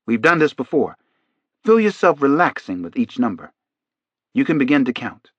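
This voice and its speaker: Male narrator as authoritative, soothing male doctor for audiobook, mid pitch, quiet delivery, slightly dull sound. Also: very animated, normal speed, dry recording. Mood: soothing, steady. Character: calm, authoritative male doctor conducting hypnosis.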